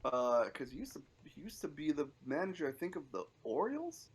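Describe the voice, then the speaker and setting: A man's voice: on the high side, big pitch swings, brisk, a little hiss or hum. A man around thirty chatting casually about sports on a podcast, informal and emphatic in his banter. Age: 30s.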